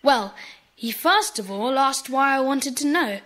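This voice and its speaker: A woman's voice: sits high, lively intonation, unhurried, a bit bright. A woman playing an earnest, polite British schoolboy in a children's audio drama. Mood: earnest, eager.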